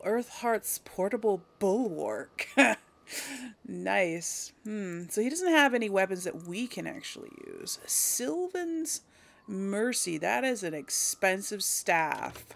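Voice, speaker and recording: A woman's voice: medium pitch, creaky. A woman giving casual video game commentary, thinking aloud as she plays. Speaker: video game let's player.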